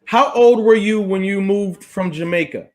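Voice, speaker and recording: A man's voice: high-pitched, normal speed, a little mellow. A man hosting an interview show, greeting viewers and prompting his guest with short, encouraging affirmations. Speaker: talk show host.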